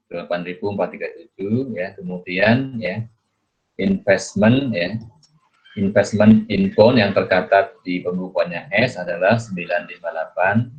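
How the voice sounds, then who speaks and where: A man with a very deep voice gives an explanatory lecture. He works haltingly, posing questions and adding little check-in tags as he goes. The recording is muffled and lo-fi. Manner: repeatedly adds "ya" as a check-in tag; halting, thinking aloud with questions to the listener. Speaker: accounting lecturer. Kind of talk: explanatory screen lecture, working through a worksheet.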